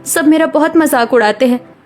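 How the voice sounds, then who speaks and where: A woman voicing a loyal, loving young wife, earnest and gentle but firm, in a cartoon story. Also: high-pitched, normal speed, soft background music, lightly acted. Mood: tender. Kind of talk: Hindi cartoon story dialogue.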